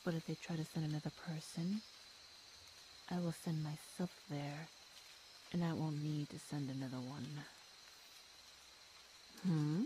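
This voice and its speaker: A woman playing a hungry, teasing feline monster girl in an ASMR audio roleplay, speaking with slow menace, hums and sighs. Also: sits low, steady tone, noisy background. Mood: suspicious, hungry.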